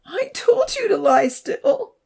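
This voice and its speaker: A woman's voice: sing-song, normal speed, darkish tone. Woman voicing a sobbing teenage girl. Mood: desperate. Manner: high, urgent and sobbing. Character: frightened teenage girl, pleading and tearful.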